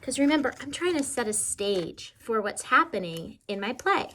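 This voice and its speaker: High-voiced woman teaching children. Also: sing-song, normal speed, a bit bright, a little hiss or hum. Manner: explains simply with frequent questions to the viewer. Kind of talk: children's how-to lesson, casual talk to camera. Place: indoors at home.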